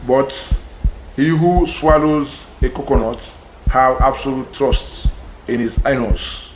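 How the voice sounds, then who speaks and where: A man's voice: mid-range voice, slow pace, natural voice. A man with a Sierra Leonean accent playing an indignant, sententious traditional noble in an audio drama. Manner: emphatic, sententious. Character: indignant, proverb-quoting traditional male noble. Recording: echoey room with faint noise.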